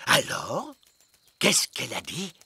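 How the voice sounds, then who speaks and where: An actor playing a stern, commanding grown-up royal with a raspy voice in a children's cartoon. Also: medium pitch, huge pitch swings, slow, bright, forceful tone, no echo, exaggerated voice. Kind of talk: animated children's cartoon dubbing.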